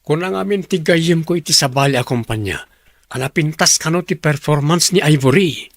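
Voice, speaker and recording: A gravelly-voiced office gossip. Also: male voice, a little high, animated, normal speed, no echo. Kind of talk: radio drama acting.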